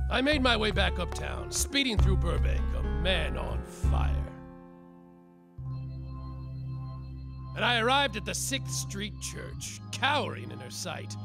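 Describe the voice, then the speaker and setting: An actor playing a world-weary, boozy, sarcastic private detective in a slapstick noir radio mystery, with a wry, dramatic delivery. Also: male voice, high voice, vocal fry, exaggerated voice. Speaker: detective and narrator.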